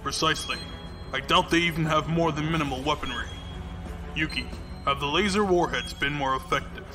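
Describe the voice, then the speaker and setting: A man playing a shrewd, composed military fleet commodore in a science fiction audio drama, measured and commanding. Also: fairly high pitch, natural voice. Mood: confident, analytical. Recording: music behind the voice.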